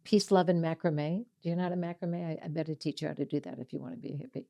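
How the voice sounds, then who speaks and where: A woman in her seventies hosting a casual interview, chatty and animated as she tells stories and laughs often. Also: low voice, racing, creaky. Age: 70s. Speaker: host, mental health coach.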